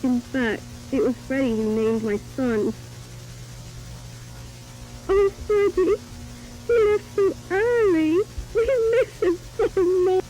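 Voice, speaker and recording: A woman playing a fond, grieving mother reminiscing in a soft voice, as in an acted testimonial for a horror series. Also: very high-pitched, takes it slowly, long pauses, faint music behind, rough recording. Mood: wistful grief. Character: fond, grieving mother recalling a kind late friend.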